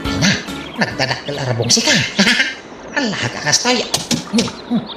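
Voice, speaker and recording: A woman's voice: low-pitched, big pitch swings, moderate pace, roomy sound, over loud music. A woman with an Ilocano accent voicing a sly, wheedling husband in a radio comedy drama. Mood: gleeful muttering. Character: sly, wheedling husband who gathers bamboo shoots.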